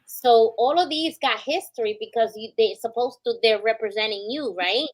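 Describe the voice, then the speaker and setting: A woman with a fairly high voice co-hosts a conversation. She is chatty and encouraging, and often backs the guest up with quick affirmations. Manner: chatty, affirming with frequent "mm-hmm". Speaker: co-host. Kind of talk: interview conversation.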